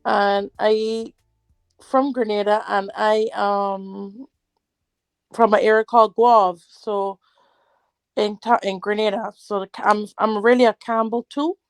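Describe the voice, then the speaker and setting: A woman's voice: mid-range voice, steady tone, slow, clean tone, no echo, soft background music. A middle-aged Barbadian woman, a guest in a casual interview, talking hesitantly with many fillers and restarts.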